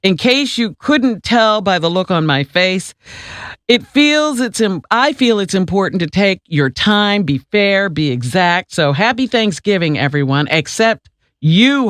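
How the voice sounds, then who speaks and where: A woman's voice: medium pitch, expressive, a little slow, fairly bright tone, no room sound. Lady doing radio news w/ casual banter, kinda wry and emphatic, super dry sound. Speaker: radio news reader and co-host.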